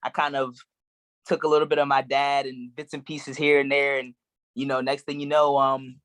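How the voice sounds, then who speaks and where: A young man from Maryland answering interview questions in a relaxed, rambling way with plenty of fillers. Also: mid-range voice, normal speed, dry recording. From Maryland, USA. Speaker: guest actor.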